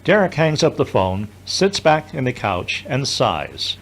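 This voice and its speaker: A man's voice: medium pitch, very animated, measured pace, fairly bright tone. A man narrating an audio drama from a script in the third person.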